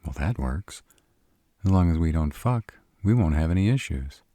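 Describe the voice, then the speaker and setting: A man playing a sarcastic, brooding vampire with a soft spot, dry and grudging, in a roleplay audio drama. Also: low voice, animated, moderate pace, no room sound. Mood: curt, dismissive.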